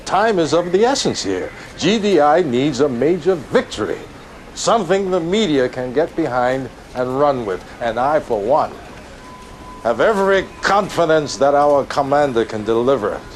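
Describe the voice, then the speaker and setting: A man's voice: fairly high pitch, very animated, normal speed, slight background noise. Pompous, polished male politician. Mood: insistent. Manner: polished, emphatic. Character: smooth, pompous male politician, ambitious and self-assured. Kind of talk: dramatic video game cutscene acting.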